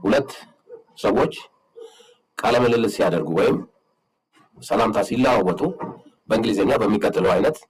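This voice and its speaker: Guy, deep and a bit husky, slow careful teacher voice drilling example sentences. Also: poor audio. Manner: slow, deliberate, repeats each example sentence. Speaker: English teacher. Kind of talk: beginner language lesson.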